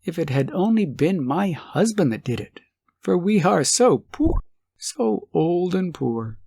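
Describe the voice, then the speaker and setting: A man's voice: high voice, sing-song, measured pace, vocal fry, dark tone, husky, no echo, clean studio sound, pitched above the performer's own voice, exaggerated voice. A man voicing a poor old wife, timid, fretful and anxious, in an audiobook story. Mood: wistful. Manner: sighing, fretful worrying.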